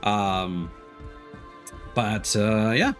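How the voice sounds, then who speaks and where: A man's voice: fairly low pitch, very slow, a bit bright, faint music behind. A man giving casual gameplay commentary as a streamer, thinking aloud in a hesitant way as he explains. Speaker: video game streamer.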